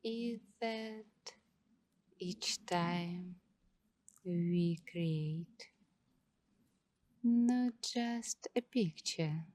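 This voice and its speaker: A woman speaking in a soft, hushed, partly whispered voice as an ASMR host, giving meditative narration in short phrases with long pauses. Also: fairly low pitch, dry recording.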